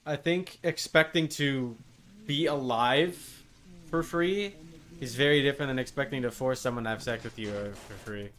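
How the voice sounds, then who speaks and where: A man's voice: mid-range voice, normal speed, a bit bright. A man streaming a video game with casual commentary, muttering asides to the characters as he plays. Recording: faint background game noise.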